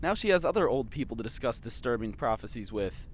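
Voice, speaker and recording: Mild everyman, male actor: expressive middle register, bemused, hesitant, easygoing audio drama delivery. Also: medium pitch, quick pace, slight background noise, lightly acted. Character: mild, bemused man named Charlie.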